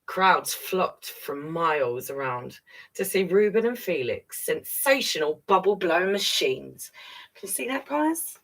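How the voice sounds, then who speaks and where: A woman's voice: on the low side, sing-song, unhurried, rough recording. A woman reading a children's picture book aloud expressively, with chatty asides straight to young listeners. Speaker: children's storyteller.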